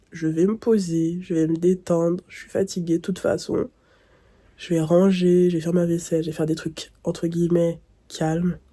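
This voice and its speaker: A woman's voice: on the low side, mellow. A young woman vlogging casually with a blocked nose, sounding nasal and congested, rambling and thinking aloud. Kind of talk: casual vlog talk to camera.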